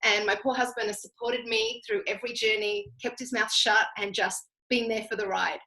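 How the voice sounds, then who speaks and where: A woman's voice: medium pitch, fairly even intonation, moderate pace, pushed and bright, poor audio. An Australian woman giving a chatty, emphatic personal testimonial, speaking directly to her listeners. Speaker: guest speaker telling personal story. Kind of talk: conversational testimonial storytelling.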